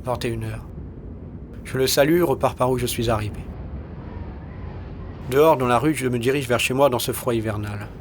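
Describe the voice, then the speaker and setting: A man's voice: medium pitch, normal speed, long pauses, a little hiss or hum. A man narrating a first-person audio short story in terse, weary, wry and colloquial style.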